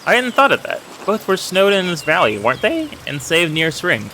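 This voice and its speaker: A man's voice: sits high, huge pitch swings, moderate pace, sharp tone, slight background noise, voice pushed up, exaggerated voice, low-quality recording. A man voicing a curious, courteous, educated artist in a historical video game, polite and inquisitive. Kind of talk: reading video game dialogue aloud.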